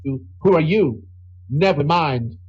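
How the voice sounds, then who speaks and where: Raspy, high-pitched old cowboy played by a man. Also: expressive, slow pace, a little mellow, lo-fi sound, exaggerated voice. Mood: flustered, bossy. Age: older. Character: flustered, bossy older cowboy saddle man. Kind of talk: children's audio story character acting.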